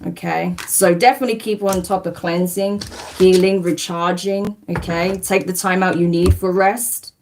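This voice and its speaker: A woman's voice: on the low side, fairly even intonation, moderate pace, darkish tone, a little hiss or hum. Soothing woman giving a live tarot reading. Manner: soothing, repeats "right?" and "okay?". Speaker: tarot reader. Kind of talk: livestream spiritual reading.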